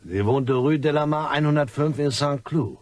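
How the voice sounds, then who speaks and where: An actor playing a scheming, irritable French gentleman with a guilty secret in a radio crime play, speaking in a curt, evasive, nervy way. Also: a little high, normal speed, no room sound, lightly acted. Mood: probing. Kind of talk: radio crime drama acting.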